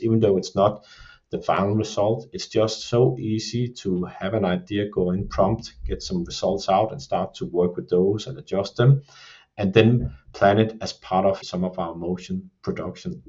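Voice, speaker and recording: A Danish man with a Danish accent, explaining production work in a measured podcast discussion and often saying "you can say". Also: low voice, darkish tone, lo-fi sound. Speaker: creative production lead.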